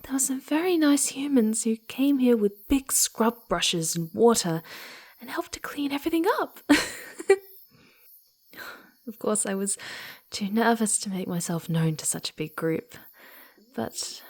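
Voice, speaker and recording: A mischievous little female kitsune in an ASMR roleplay. The voice is high and girlish, swooping widely in pitch, and moves at an unhurried pace. The delivery is cutesy, teasing and affectionate, with a giggly, excitable edge. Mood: delighted, moved.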